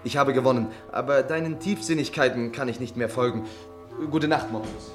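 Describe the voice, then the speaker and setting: An actor playing a lordly, nonchalant gentleman who talks offhandedly down to his servant, in a 1960s German radio play. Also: medium pitch, a little fast, faint music behind, close to an everyday voice. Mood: offhand. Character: lordly, nonchalant gentleman master, amused and indifferent.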